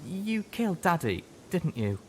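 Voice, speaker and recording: A man's voice: sing-song, measured pace, darkish tone. A man voicing a timid, resigned young male pony in an audiobook, hesitant and subdued, with noise behind him. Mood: resigned, questioning. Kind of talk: audiobook character voice. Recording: noticeable background noise with low rumble.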